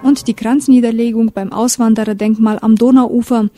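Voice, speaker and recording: A woman narrates a news report from a prepared script. Her voice sits a little high but stays soft and mellow. She delivers it as a reporter would. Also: flat delivery, moderate pace, soft background music.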